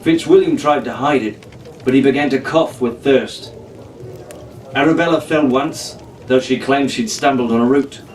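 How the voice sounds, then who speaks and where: A man's voice: mid-range voice, moderate pace. A man reading a children's adventure novel aloud as a theatrical storyteller in a pirate persona. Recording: echoey room, distant mic, faint hiss.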